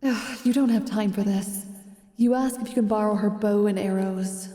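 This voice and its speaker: A woman's voice: mid-range voice, fairly even intonation, normal speed, roomy sound, big-room sound, distant mic, noisy background. A woman reading scripted second-person narration for a fantasy audio roleplay.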